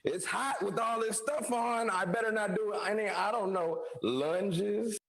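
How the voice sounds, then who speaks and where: A man's voice: sits high, measured pace, creaky, fairly bright tone, full character voice. An actor playing a sarcastic, goofy young male friend in a sitcom table read. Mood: sarcastic, showing off.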